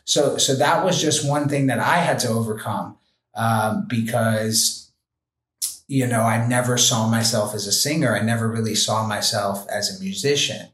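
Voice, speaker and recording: A man speaking as a podcast guest, earnest and gentle, talking in a reflective way with many fillers like "you know" and "like". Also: fairly low pitch, normal speed, echoey room. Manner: gentle, heartfelt. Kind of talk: reflective interview conversation.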